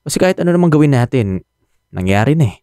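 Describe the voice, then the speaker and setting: An actor with a Filipino accent playing a steady young boyfriend in radio drama dialogue, reassuring and firm but resigned. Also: male voice, mid-range voice, fast, no room sound, studio quality, close to an everyday voice.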